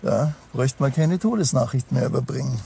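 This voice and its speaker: A man's voice: medium pitch, a little fast, vocal fry. An actor playing a dry, unbothered, sarcastic policeman in a crime comedy audio drama. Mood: sarcastic, deadpan. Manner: dry, deadpan, teasing.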